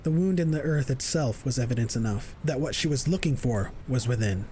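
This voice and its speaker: A man's voice: medium pitch, a little fast, dark tone, slight background noise. A man reading scripted narration for an audio drama.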